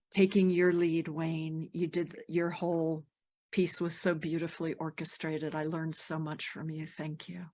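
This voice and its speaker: A woman who leads poetry workshops, presenting her work at a round-table and often dropping into soft near-whispers. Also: deep voice. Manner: slow, gentle, drops to near whispers.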